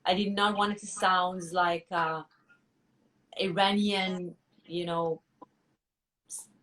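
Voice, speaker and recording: Soft-spoken woman searching for words. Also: on the low side, steady tone, slow pace, recorded from a distance. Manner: thoughtful, searching for words, many restarts. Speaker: musician guest. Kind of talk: interview answers.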